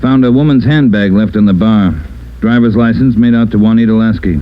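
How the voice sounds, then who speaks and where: An American actor playing a brisk, gruff police chief briefing his men in clipped phrases in an old radio drama. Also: on the low side, steady tone, natural voice. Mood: businesslike. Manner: clipped, businesslike. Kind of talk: 1940s-50s radio drama acting. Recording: muffled old radio broadcast, faint hiss.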